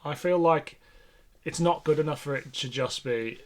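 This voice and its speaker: A man's voice: on the high side, moderate pace. A man co-hosting a podcast in casual conversation, informal and thinking aloud as he goes. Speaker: podcast host. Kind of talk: casual discussion.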